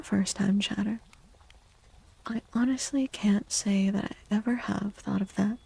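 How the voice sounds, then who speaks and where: Soft, creaky, slow-talking woman, near whisper. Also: on the high side, no room sound. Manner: slow, gentle, unhurried, repeats phrases softly. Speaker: livestream host. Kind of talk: soft-spoken ASMR livestream chat.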